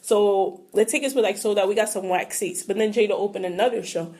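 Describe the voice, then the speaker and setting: A young woman with a New York accent, co-hosting a podcast in relaxed, slangy chat. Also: medium pitch. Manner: relaxed, slangy, quick agreeing. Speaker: podcast co-host. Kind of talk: casual chat.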